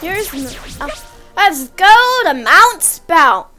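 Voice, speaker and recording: Bright, high-pitched young gaming co-host. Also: sing-song, extremely slow, soft background music. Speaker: young co-host playing the game. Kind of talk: casual gameplay chat.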